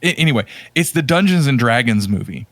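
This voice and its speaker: A man with an average-pitched voice talks in a casual, opinionated podcast style. He sounds exasperated and leans on repeated words for emphasis. The sound is dry, with very little room around it. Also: lively intonation, a little fast, no echo. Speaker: podcast co-host. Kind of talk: casual opinionated movie chat.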